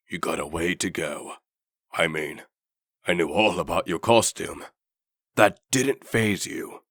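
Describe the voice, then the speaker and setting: A man playing a sly, knowing old vagrant with a raspy voice and a folksy, condescending manner in a comic dub. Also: sits low, fairly bright tone, no room sound, clean studio sound, full character voice. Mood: mocking, knowing.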